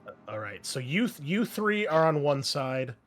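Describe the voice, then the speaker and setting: A man's voice: on the high side, very animated, moderate pace, soft background music. A man narrating a tabletop roleplaying game as game master, in a casual, conversational way. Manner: casual, conversational, mild swearing.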